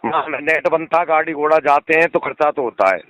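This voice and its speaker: A man's voice: fairly high pitch, fairly quick, a bit bright, no echo. A blunt man, arguing combatively and cutting in during a phone conversation. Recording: phone call recording, dry sound.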